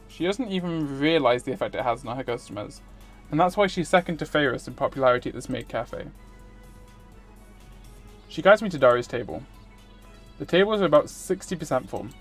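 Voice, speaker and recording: A streamer reading a visual novel aloud, with casual commentary. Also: medium pitch. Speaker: livestreamer. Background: music.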